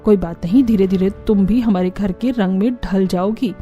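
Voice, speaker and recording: A woman's voice: medium pitch, moderate pace, lo-fi sound, faint music behind, lightly acted. A woman voicing a strict mother-in-law with a firm, declarative manner in a cartoon story. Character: strict mother-in-law devoted to her favorite food.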